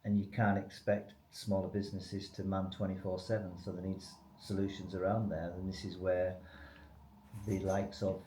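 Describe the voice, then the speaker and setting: A man's voice: deep voice, flat delivery, normal speed, mellow. A man speaking as a company expert in an interview, explaining business solutions conversationally. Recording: echoey room, faint background noise.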